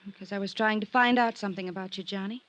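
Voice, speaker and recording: An actress playing a sultry, world-weary drifter stranded abroad in a 1950s radio drama, earnest and pleading, with weary pauses. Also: female voice, mid-range voice, fairly even intonation, fairly bright tone, lightly acted. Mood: bargaining, guarded. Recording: old radio drama recording.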